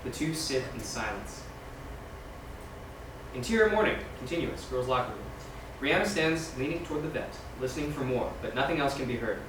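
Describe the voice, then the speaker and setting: A man's voice: medium pitch, lively intonation, normal speed. A man reading scene directions aloud as scripted narration at a screenplay table read. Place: large echoey room, far from mic. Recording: echoey, noisy room from far off.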